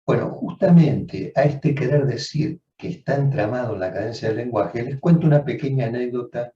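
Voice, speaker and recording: A man, a psychoanalyst, giving a measured, reflective seminar lecture, often rephrasing as he goes. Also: medium pitch, warm tone. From Argentina. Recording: dull, roomy sound from far off.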